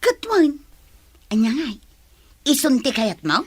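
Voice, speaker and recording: A woman's voice: high voice, very animated, takes it slowly, a little mellow, slightly rough, heavily acted. An actress with an Ilocano Filipino accent playing a teasing, gossipy village woman in a radio drama.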